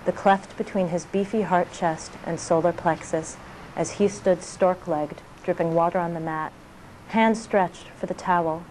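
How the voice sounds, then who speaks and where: A woman poet reading her work aloud in a measured delivery of short, clipped phrases. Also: on the low side, steady tone, darkish tone, slight background noise, rough recording. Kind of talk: poetry reading.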